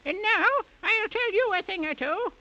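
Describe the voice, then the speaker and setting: A woman's voice: very high-pitched, animated, moderate pace, sharp tone, exaggerated voice. A woman acting a wicked, vengeful old witch in a 1930s children's radio play, speaking theatrically in rhyme. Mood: menacing. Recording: muffled lo-fi 1930s radio recording.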